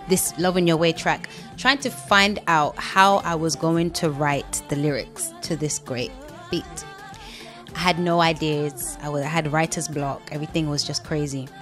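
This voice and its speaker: A young woman with a London accent chats freely in an interview. Her voice sits low and she tells her stories with plenty of restarts. Soft music runs faintly behind her. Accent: London English. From London, England. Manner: chatty storyteller, quick to laugh, talks through restarts. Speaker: singer being interviewed. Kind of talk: casual interview chat.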